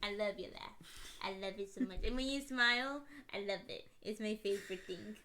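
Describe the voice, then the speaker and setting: A woman's voice: mid-range voice, normal speed, sharp tone. A 22-year-old woman hosting a podcast, chatting casually with her boyfriend and giggling through her stories. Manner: chatty, giggly, storytelling with lots of "like". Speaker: podcast host. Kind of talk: casual couple's podcast chat.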